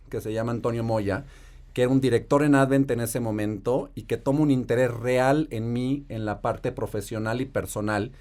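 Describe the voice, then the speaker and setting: A Mexican man, probably in his thirties, a private equity investor telling his career story in a measured, articulate way. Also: mid-range voice, a little mellow. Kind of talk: podcast interview storytelling.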